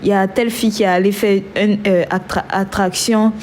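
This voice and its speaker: A woman's voice: mid-range voice, steady tone, brisk, darkish tone, a little hiss or hum. A woman hosting a casual live stream who has a cold and talks in a chatty way, trailing off and restarting. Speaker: livestream host.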